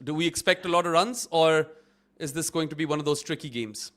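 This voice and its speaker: A man's voice: on the high side, fairly bright tone. A man in his thirties hosting a casual watchalong chat, teasing his guest and slipping in cricket updates. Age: 30s. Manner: teasing, quick laughing banter. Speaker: watchalong host.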